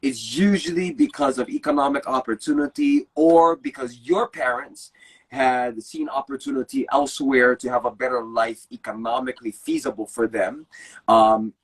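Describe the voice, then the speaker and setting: A man's voice: medium pitch, moderate pace, breathy edge, rough recording. A young man from Hawaii with a Hawaiian Pidgin accent, speaking earnestly in a casual chat. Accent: Hawaiian Pidgin English. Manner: earnest, animated speech in Pidgin phrasing. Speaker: guest.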